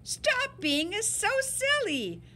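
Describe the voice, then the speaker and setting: A woman's voice: very high-pitched, wildly swooping pitch, very slow, pushed and bright. A woman reading a picture book aloud to children in a dramatic, emphatic and playful way. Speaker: story-time reader.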